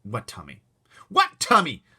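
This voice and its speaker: A man voicing an upset young schoolboy who shouts and pleads, for an audiobook. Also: mid-range voice, wildly swooping pitch, fairly quick, husky, pitched above the performer's own voice, exaggerated voice. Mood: frantic.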